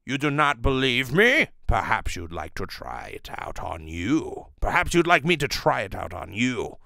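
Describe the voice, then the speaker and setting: A suave villain acted by a man speaks formally and deliberately, with quiet menace. He is the criminal hypnotist of an old radio serial, recorded close and dry. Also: medium pitch, big pitch swings, creaky, dry recording, exaggerated voice. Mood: smooth menace.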